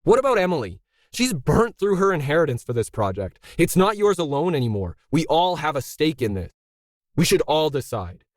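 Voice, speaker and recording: A man's voice: fairly high pitch, very animated, moderate pace, dry recording, clean studio sound, pitched above the performer's own voice. A man voicing a bitter middle-aged male artist in a story, indignant, pressing and sarcastic. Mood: bitter, aggrieved.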